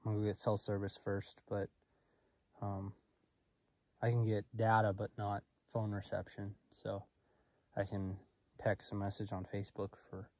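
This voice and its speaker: A young man with a slightly raspy voice, vlogging casually and quietly to a handheld camera outdoors in open hills, often trailing off, with sudden bursts of excitement. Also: fairly low pitch, unhurried, long pauses, no echo. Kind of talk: casual solo vlog narration.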